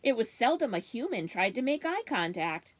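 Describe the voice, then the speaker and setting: A woman reading a children's storybook aloud as expressive narration, in the manner of a library storytime. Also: on the high side, normal speed.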